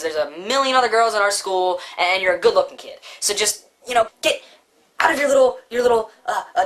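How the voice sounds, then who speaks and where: A woman voicing a naive, earnest schoolboy puppet, a pushy friend who talks haltingly and repeats himself in comic dialogue. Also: medium pitch, fairly bright tone, close to an everyday voice. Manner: halting, repetitive pep talk and baffled questions.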